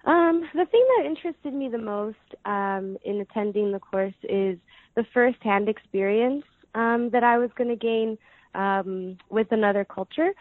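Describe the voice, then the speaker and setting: Young woman, lots of ums, chatty and relaxed, dry phone-ish sound. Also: a little high, dry recording. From United States. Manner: hesitant, many ums, soft laughs. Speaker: guest. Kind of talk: casual interview answers. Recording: dry tinny phone-like line.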